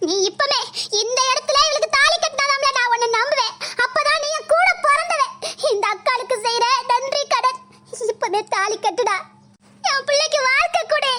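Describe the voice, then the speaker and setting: A woman's voice: extremely high pitch, moderate pace. A woman with a playing a scheming middle-aged village stepmother who wails, pleads and cries in melodramatic bursts. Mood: demanding. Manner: loud wailing, pleading, crying melodrama. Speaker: skit actor. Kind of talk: melodramatic village comedy skit.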